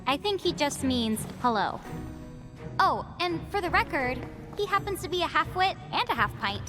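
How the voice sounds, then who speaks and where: A wry young heroine. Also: female voice, high-pitched, very animated, normal speed. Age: young adult. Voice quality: dry, sarcastic. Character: sharp, level-headed young heroine. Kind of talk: video game voice acting. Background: game music.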